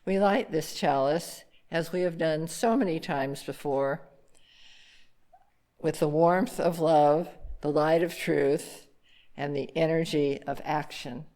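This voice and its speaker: A woman congregant reading a formal worship text aloud with measured, deliberate phrasing. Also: sits low, dark tone. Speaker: congregant reading the chalice lighting.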